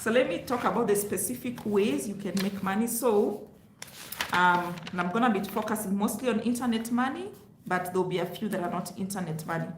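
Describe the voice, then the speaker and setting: A woman's voice: mid-range voice, brisk. A woman whose first language is Luo, giving casual money advice in a chatty, explaining way. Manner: chatty, explains with rhetorical asides. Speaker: vlogger. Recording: roomy echo with faint hiss.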